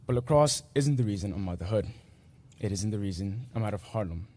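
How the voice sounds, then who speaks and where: A late-teen young man whose first language is Spanish reads his graduation essay from a podium mic. His voice sits low and mellow, and the sound is close and dry. He speaks in earnest, measured phrases with small pauses. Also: lively intonation, no room sound. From Guatemala. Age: late teens. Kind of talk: reading a personal essay aloud at a graduation. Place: school commencement ceremony.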